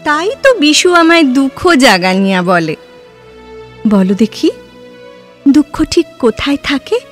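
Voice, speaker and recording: A woman playing a dreamy, playful, free-spirited young heroine in a literary audio drama, lyrical and full of dramatic pauses. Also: high-pitched, big pitch swings, soft background music. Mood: reflective. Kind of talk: Bengali audio drama dialogue.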